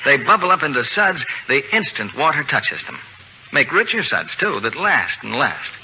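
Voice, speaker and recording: A man working as an announcer, reading scripted sponsor pitches in a 1940s radio style. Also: big pitch swings, normal speed, pushed and bright. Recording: muffled old radio broadcast, faint noise.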